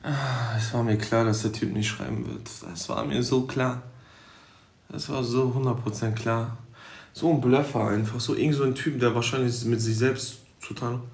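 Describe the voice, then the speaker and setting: Gravelly young man livestreaming. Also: medium pitch, steady tone, moderate pace. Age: 20s. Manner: loud, blunt, slangy. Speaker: livestream host. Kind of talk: casual livestream chat. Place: living room indoors. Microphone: phone at arm's length. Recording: roomy room echo.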